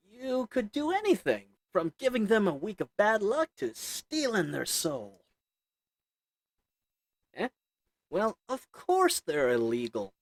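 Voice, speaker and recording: A man's voice: high-pitched, full character voice. A man voicing a sly little old goblin merchant who sells shady goods, talking in a wheedling folksy drawl.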